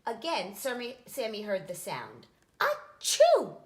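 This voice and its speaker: Female children's story reader: expressive and animated, slightly high pitch, echoey room. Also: moderate pace. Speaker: story reader, nonprofit executive director.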